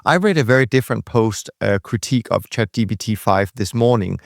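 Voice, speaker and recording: A man's voice: lowish voice, expressive, a little fast, gravelly, no echo, studio quality. A man with a Danish accent hosting a podcast interview, chatting with rambling asides. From Denmark. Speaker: podcast host. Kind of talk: conversational interview.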